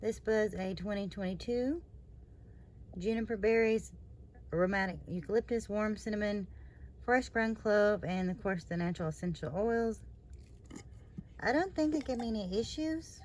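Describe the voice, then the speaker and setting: A woman hosting her own review channel, slightly hoarse after surgery, talking in a rambling, chatty way with lots of fillers and trailing asides. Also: medium pitch, normal speed, long pauses, darkish tone, a little hiss or hum. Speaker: candle review channel host. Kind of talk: casual product review vlog.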